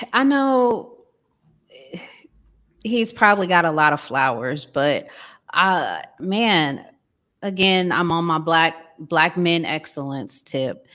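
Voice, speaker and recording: Female panelist, lowish register, very slow hesitant casual delivery. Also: expressive, fairly bright tone. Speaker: music podcast panelist.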